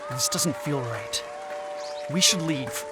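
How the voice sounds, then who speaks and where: A man's voice: medium pitch, animated, takes it slowly, over loud music, a loud crowd. A man playing a wary, bewildered anthropologist in an audio drama. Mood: uneasy.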